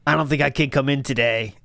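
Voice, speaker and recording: A man's voice: mid-range voice, vocal fry, fairly bright tone, no room sound. A man putting on a coughing employee who is faking being sick on a call to his boss. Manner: feeble, coughing and apologetic.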